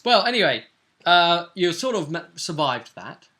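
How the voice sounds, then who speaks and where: A man's voice: on the high side, sing-song, unhurried, bright, forceful tone. A man recapping a tabletop game as its game master in casual chat, often checking for agreement with tag questions.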